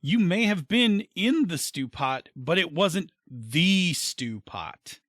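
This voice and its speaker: A man's voice: fairly high pitch, expressive, slow, a bit bright, dry recording, studio quality, full character voice. A man playing an argumentative old-timer gnome, blustering about seniority in a comedic audio drama. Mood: indignant boasting.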